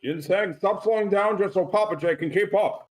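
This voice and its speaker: A man's voice: sits high, moderate pace, close to an everyday voice. Curt, taunting creature male, played by a man. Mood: barking, harsh. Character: brusque creature males: gruff coach, snide teenage brother. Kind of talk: screenplay table read.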